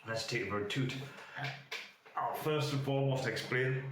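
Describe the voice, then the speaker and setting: A man's voice: mid-range voice, moderate pace. A man with a chesty cold, casually demonstrating vape gear and rambling with lots of fillers. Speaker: vape reviewer. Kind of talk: casual hands-on product demo. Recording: echoey room with faint noise.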